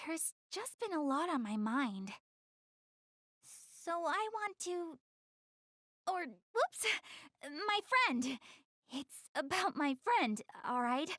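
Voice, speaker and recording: A woman's voice: sits high, dry recording, clean studio sound, exaggerated voice. A woman voicing a proud, easily flustered young goddess girl in an anime game dub, speaking expressively and directly to the player. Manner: expressive, hesitant when covering up embarrassment.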